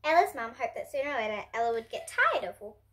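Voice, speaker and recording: Casting: preteen girl, high animated voice, expressive storybook reader for little kids. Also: fast talker. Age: child, about ten to twelve. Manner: expressive, presentational reading to young listeners. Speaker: children's picture book reader, host. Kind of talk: storybook read-aloud for children. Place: indoors at home, in front of bookshelves.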